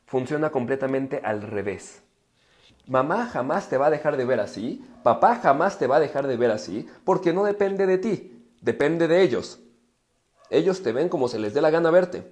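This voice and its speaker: Mellow, higher-pitched Mexican man explaining informally. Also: expressive, moderate pace. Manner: explanatory, emphatic, acts out inner dialogue. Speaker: livestream speaker on relationships and psychology. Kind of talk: informal live self-help talk to viewers.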